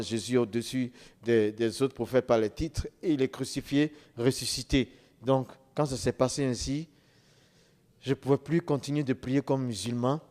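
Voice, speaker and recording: A man's voice: mid-range voice, a little mellow. A middle-aged man giving an emphatic testimony as a preacher, repeating words for stress. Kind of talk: sermon testimony. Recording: long reverb of a church hall.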